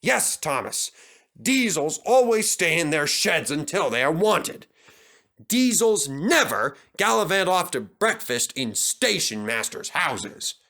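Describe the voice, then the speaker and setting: A man's voice: fairly high pitch, very animated, slow, sharp tone, voice pushed up, full character voice. A pompous, authoritative older railway controller, voiced by a man for a children's storybook. He speaks formally and sternly, taking his time over the words. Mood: stern reprimand.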